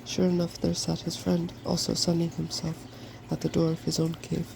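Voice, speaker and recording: A softspoken ASMR host reading a fairy tale from a storybook in a gentle, quiet way. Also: medium pitch, monotone, moderate pace. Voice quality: soft-spoken. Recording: clearly noisy background.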